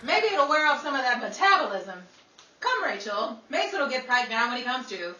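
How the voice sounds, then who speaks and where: A woman's voice: high-pitched, very animated, normal speed. A woman playing a sardonic, vain 1930s New York radio performer in a dry, wisecracking comedy style. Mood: dry sarcasm. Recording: roomy, distant, noisy with background crowd.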